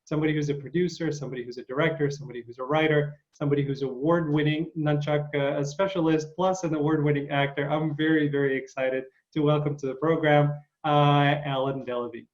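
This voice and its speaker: Eager male interview host, higher-pitched, chatty with fillers, muffled call audio. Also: steady tone, fast, hardly any pauses. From Ukraine. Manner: enthusiastic, frequent fillers and restarts. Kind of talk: casual video interview.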